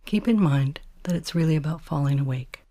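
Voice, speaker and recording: Soft, breathy woman guiding meditation. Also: low voice, fairly quick, no room sound, clean studio sound. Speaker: meditation teacher. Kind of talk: guided body scan meditation.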